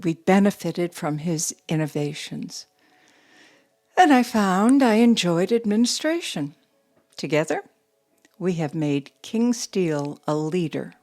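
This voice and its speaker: Casting: young female mill boss for audio play, composed and matter-of-fact, slow measured delivery, lowish register. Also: very animated, a little mellow. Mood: quietly proud. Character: composed young widow running a steel mill, guarded. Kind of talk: audio drama acting.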